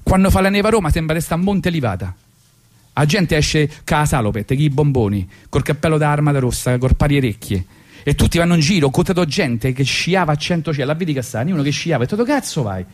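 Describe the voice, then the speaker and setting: A man's voice: fairly high pitch, lively intonation, quick pace. A man with a Roman accent, a radio host and comedian telling a rambling story with false starts and asides. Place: radio studio.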